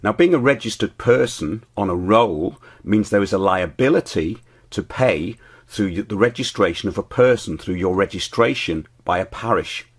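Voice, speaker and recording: A middle-aged British man presenting his show as host, reading scripted commentary in a measured, declarative way. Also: fairly low pitch, lively intonation, breathy edge.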